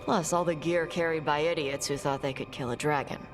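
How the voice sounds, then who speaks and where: A woman voicing a blunt young dragon hunter who talks in short, gruff, dismissive lines in a game cutscene. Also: deep voice, fairly even intonation, brisk, music in the background, lightly acted. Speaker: treasure hunter. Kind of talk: video game cutscene dialogue.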